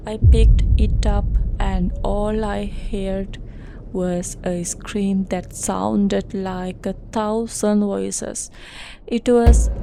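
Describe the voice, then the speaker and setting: A woman narrator delivering a scripted first-person horror account in an ominous manner, with dramatic pauses. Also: medium pitch, gravelly, dark tone, slight background noise.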